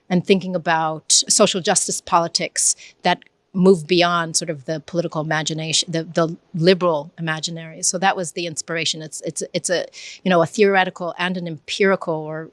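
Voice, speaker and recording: American lady prof in a lecture hall, lowish voice, dry close mic, thoughtful answers with restarts. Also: fairly bright tone. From Israel and United States. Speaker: professor, interviewee. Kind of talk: answering interview questions. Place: large university lecture hall, indoors. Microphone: handheld mic held near mouth.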